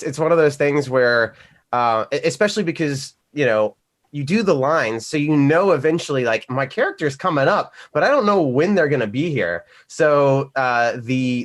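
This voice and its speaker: A man with a mid-pitched voice chats casually as a voice actor on an online panel. He tells his stories in an animated way, slipping into little acted-out asides. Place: remote video call.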